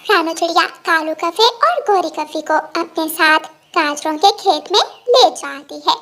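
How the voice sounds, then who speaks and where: A woman's voice: very high voice, lively intonation, a little slow, a bit bright. A woman narrating a scripted children's story.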